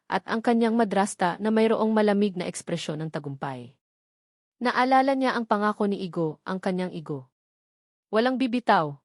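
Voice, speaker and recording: A woman's voice: medium pitch, dry recording. Filipina woman narrating drama, close dry sound. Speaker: story narrator. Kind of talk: scripted dramatic romance narration.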